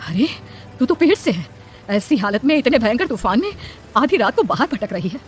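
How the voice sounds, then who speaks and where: A woman voicing a worried, motherly middle-aged village aunt in a horror cartoon, speaking in an expressive, questioning way. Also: a little high, normal speed, a little hiss or hum. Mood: concerned, curious. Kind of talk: dramatic Hindi cartoon story dialogue.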